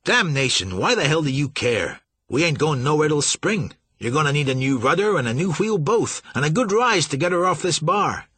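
A man voicing an excitable male steamboat pilot who protests loudly in folksy river talk. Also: fairly high pitch, normal speed, hardly any pauses, breathy edge, no echo, pitched above the performer's own voice, heavily acted. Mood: indignant.

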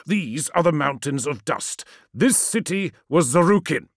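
A man's voice: fairly high pitch, animated, a little slow, dry recording, pitched above the performer's own voice. A man voicing a towering, wrathful demigod warlord with a fracturing mind, in imperious and anguished declamation for an audiobook. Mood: imperious fury.

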